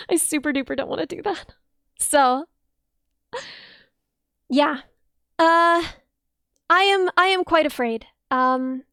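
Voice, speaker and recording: High, piercing young woman streamer. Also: no echo, clean studio sound. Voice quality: high, dense, a little piercing. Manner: bubbly, gushes thanks with shrieks of excitement. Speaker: streamer and musician. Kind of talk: casual livestream chat with viewers.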